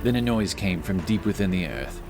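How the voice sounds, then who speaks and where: A man's voice: low-pitched, lively intonation, normal speed, over music. A man reading scripted, dramatic narration for a fantasy adventure story.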